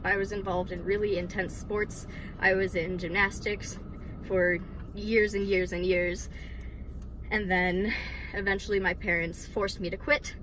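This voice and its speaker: A woman's voice: mid-range voice, a bit bright, slight background noise, poor audio. A woman in her twenties from Michigan, casually sharing her personal faith story with frequent laughs, ums and likes. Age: 20s. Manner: giggly, many ums and likes. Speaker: vlogger sharing testimony.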